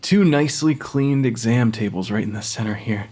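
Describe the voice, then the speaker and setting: An actor playing a nervous young forensic pathologist, hesitant, apologetic and easily flustered, in an audio drama. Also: male voice, mid-range voice, normal speed, a little mellow, natural voice. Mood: self-doubting. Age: young adult.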